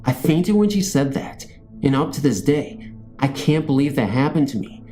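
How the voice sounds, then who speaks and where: Mellow male ghost story narrator over faint music. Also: a little high, animated, normal speed. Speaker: narrator of scary stories.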